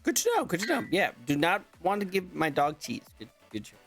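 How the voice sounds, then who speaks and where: A man's voice: sits high, huge pitch swings, brisk, a bit bright. Male host, casual and upbeat, repeats himself, plays along with jokes, faint music behind. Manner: upbeat, repeats phrases, playful. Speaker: talk show host. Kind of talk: casual interview chat.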